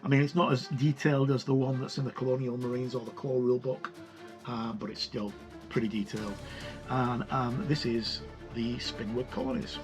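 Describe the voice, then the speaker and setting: A man giving a casual, halting review of a tabletop game product in an informal way. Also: medium pitch, level tone. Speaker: video reviewer. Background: music.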